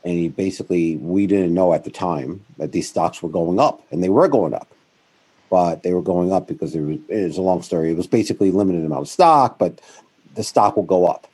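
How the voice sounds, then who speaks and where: Middle-aged man, interview guest; deep husky voice, rambling, self-correcting delivery. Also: brisk. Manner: rambling, self-correcting, animated when quoting others. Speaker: guest, founder of a financial firm. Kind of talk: conversational interview storytelling.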